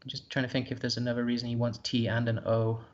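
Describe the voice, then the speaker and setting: A man's voice: medium pitch, monotone, quick pace, dull audio. A man commentating a live board game, thinking aloud in a hesitant, musing way.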